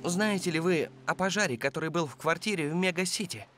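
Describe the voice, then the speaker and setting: A woman voicing an eager, bumbling, kind-hearted teenage boy trainee in an animated series dub, excitable and stammering. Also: lowish voice, brisk, slight background noise. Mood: earnest. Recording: music behind the voice.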